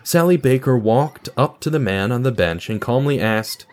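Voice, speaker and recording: Male narrator, scripted audio drama storytelling, medium pitch, lively intonation. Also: moderate pace.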